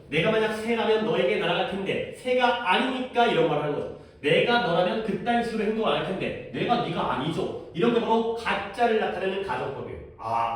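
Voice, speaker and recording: A man teaching a middle-school English grammar lesson in a casual, explaining way, often checking in with his students with questions. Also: high-pitched. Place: classroom. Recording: echoey classroom from far off.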